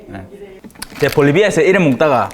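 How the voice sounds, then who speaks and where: Animated Gyeongsang-accented priest in an echoey hall. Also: male voice, on the high side, a little fast. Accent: Gyeongsang Korean. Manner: jocular, colloquial, rhetorical questions to listeners. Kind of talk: informal religious lecture to a small group. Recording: echoey hall with long reverb.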